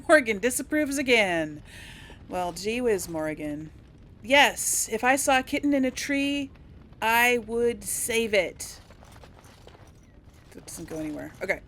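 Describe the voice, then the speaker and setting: A woman's voice: on the high side, lively intonation, slow pace, long pauses, fairly bright tone. A woman hosting a casual role-play let's play, chatting and reacting aloud as she plays. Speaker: gaming host, role player. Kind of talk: casual let's play commentary with in-character dialogue. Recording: game music behind the voice.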